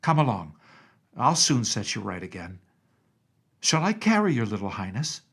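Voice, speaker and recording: A man's voice: fairly low pitch, very animated, moderate pace, a bit bright, slightly rough, heavily acted. Man voicing a plucky young miner boy. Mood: confident. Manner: confident, matter-of-fact.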